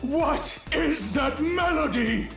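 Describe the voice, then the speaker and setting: An actor voicing a brilliant, tormented scientist in a video game, with a Dutch accent and a measured, authoritative manner that shifts between gentle and intense. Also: male voice, very high voice, soft background music, exaggerated voice. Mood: troubled, intense. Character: Sigma, brilliant tormented scientist, kindly authoritative, unstable, given to bad jokes.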